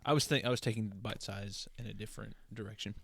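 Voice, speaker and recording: Gravelly man in casual chat. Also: on the low side, fairly even intonation, fast talker, fairly bright tone, no room sound. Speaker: podcast co-host.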